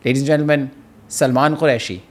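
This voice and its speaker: A man's voice: mid-range voice, quick pace. A forty-year-old man speaking as the host of a podcast, in a conversational style. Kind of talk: scripted welcome turning into casual banter.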